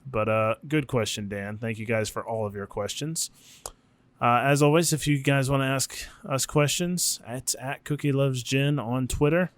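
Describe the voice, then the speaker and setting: A man with a mid-pitched voice chats casually as a podcast co-host. He muses informally and swears offhandedly. The sound is dry and close, with very little room in it. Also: normal speed, dry recording.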